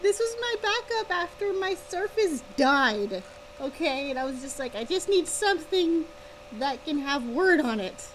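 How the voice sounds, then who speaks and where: Female livestreamer, high voice, casual and wryly exasperated, faint fan buzz on a laptop mic. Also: very animated, measured pace, slight background noise, rough recording. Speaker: live streamer, author. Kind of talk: casual chat with livestream viewers. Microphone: laptop's built-in or connected microphone.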